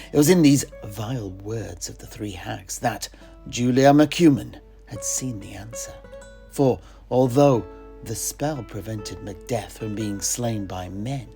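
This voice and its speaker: A man playing an alchemist storyteller, recounting fantasy legends of his homeland in a theatrical way with dramatic pauses. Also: lowish voice, gravelly, mellow. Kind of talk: in-character fantasy tale narration.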